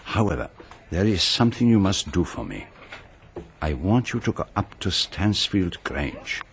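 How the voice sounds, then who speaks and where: An actor playing a vain, precise celebrated detective in a radio drama, with a pompous, formal manner. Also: male voice, low voice, big pitch swings, a little slow, slight background noise, full character voice. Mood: urgent, instructing.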